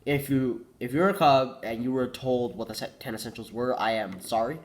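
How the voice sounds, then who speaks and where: A man's voice: medium pitch, normal speed. A teenage boy with a cold, congested and coughing, rambling casually and correcting himself, saying "like" a lot. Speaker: vlogger.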